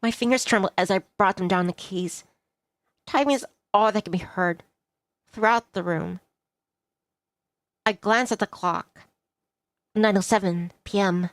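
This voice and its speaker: A woman's voice: medium pitch, unhurried, long pauses, no echo. A woman reading a visual novel aloud expressively as she plays, with music behind her. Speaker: VTuber playing a visual novel. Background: background music.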